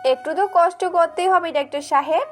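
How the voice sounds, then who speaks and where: A woman's voice: very high voice, a little fast, faint music behind, voice pushed up. A woman voicing a playful, teasing young village girl in an audio story.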